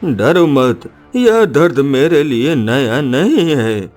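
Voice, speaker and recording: A man's voice: medium pitch, lively intonation, slow, a little mellow, faint music behind. A man playing a brave, self-sacrificing friend in a cartoon story, solemn, urgent and heroic. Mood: selfless, resolute. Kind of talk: dramatic cartoon story acting.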